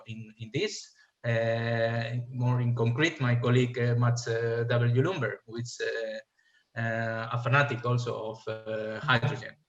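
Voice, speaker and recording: Spanish guy presenting on a panel, lots of uhs, echoey muffled call audio. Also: mid-range voice. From Spain. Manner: hesitant, many filler uhs. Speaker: panelist, fuel cell scientist.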